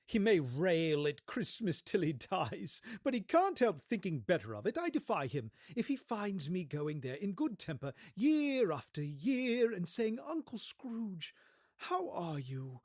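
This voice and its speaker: A man plays a cheerful young Victorian gentleman in an audio story. His voice is pitched high and swings widely with good humor. He talks exuberantly, in a close, dry sound. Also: big pitch swings, a little slow, no room sound, heavily acted. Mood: good-natured, animated.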